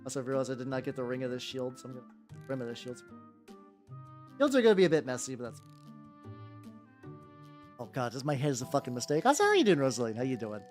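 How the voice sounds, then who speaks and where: A young man with a relatively high voice chatting casually on a livestream while painting miniatures, rambling and often repeating himself. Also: sing-song, quick pace, long pauses. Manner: rambling, repeats words, laughs often, squeals in playful high bits. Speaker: VTuber streamer. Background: soft background music.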